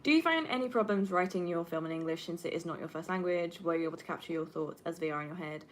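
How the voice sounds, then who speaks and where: A woman in her twenties with a slightly low voice talks casually to a livestream. Her first language is Flemish Dutch. She rambles candidly, swearing and stressing her points as she goes. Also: fast, a little hiss or hum. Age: 20s. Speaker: livestreamer and film student. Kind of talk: casual livestream chat answering viewer questions.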